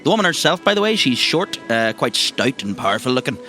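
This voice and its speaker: A man's voice: mid-range voice, very animated, a little fast, sharp tone, faint music behind. A man with a Northern Irish accent narrating scenes informally and descriptively as game master of a tabletop game podcast.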